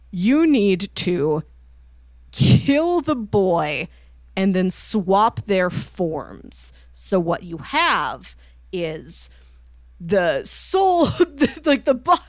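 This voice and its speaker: Female podcast co-host, conversational and animated, medium pitch, very dry sound. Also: dry recording. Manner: animated storyteller, acting out quoted lines informally. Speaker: podcast co-host reviewing books. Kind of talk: casual conversational book discussion.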